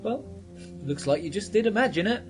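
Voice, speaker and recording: A performer plays a flustered village sceptic in a sci-fi radio play. The delivery is very animated, hesitant and exasperated, with music clearly underneath. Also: medium pitch, a little fast, natural voice. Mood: sceptical. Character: flustered, sceptical local helper at a church. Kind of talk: sci-fi radio drama acting.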